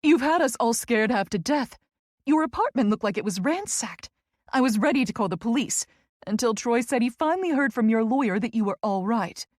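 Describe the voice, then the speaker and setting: A woman performs a commanding female senior boss from an audiobook novel. She is smooth-voiced, dramatic and fiercely protective, speaking with strong emphasis in a dry, close recording. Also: fairly high pitch, animated, brisk, no room sound, voice pushed up. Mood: concerned, pleading. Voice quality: smooth alto.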